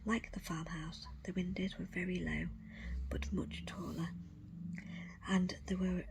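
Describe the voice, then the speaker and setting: A woman reads a first-person story aloud in a hushed, unvoiced ASMR whisper, measured and breathy. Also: fairly low pitch, slight background noise, poor audio. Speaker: audiobook reader.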